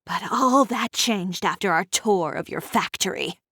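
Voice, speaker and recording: An actress playing a stern, self-assured young fashion designer in a video game, composed and blunt. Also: female voice, medium pitch, a little slow, bright, forceful tone, husky, dry recording, studio quality, full character voice. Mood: stern resolve.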